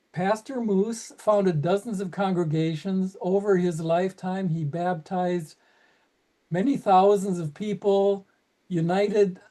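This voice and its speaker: An older man talking over a video call to a club meeting, telling history in a thoughtful, halting way with many pauses. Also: sits high, dark tone. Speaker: guest speaker at a club meeting. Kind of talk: casual talk, telling history.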